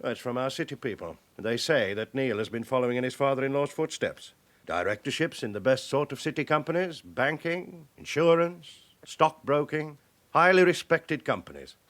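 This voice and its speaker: An actor playing a suspicious, methodical police chief inspector in a radio drama, reasoning deliberately through leading questions. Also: male voice, medium pitch, animated, a bit bright, close to an everyday voice. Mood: pensive, pointed. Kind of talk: naturalistic radio drama acting.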